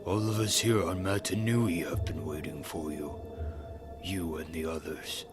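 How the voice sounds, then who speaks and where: A man playing an earnest, humble little villager in an animated fantasy, speaking with reverence. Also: low-pitched, unhurried. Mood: reverent. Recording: music behind the voice.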